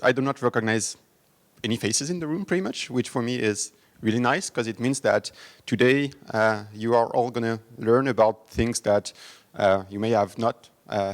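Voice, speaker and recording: French-accented man opening a tech workshop. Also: medium pitch. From France. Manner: halting, many fillers and restarts. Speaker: conference host and foundation speaker. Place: conference room with audience.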